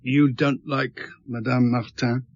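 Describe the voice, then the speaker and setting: An actor playing a calm, patient police inspector in a radio drama, questioning in a measured, courteous way. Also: male voice, mid-range voice, dull audio. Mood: measured, probing.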